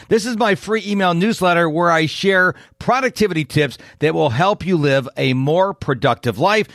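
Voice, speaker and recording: Chatty man with a higher voice. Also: no room sound, studio quality. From United States. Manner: upbeat, chatty, folksy asides. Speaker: podcast host. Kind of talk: casual interview chat.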